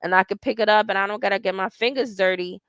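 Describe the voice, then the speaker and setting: A woman in her forties hosts a loose livestream chat, talking in a blunt, profane and wandering way. Her voice has a gravelly, crackly edge. The sound is close and dry, with no room echo. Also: mid-range voice, steady tone, quick pace, a bit bright. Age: 40s. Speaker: livestream host. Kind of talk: casual livestream chat, reading viewer comments.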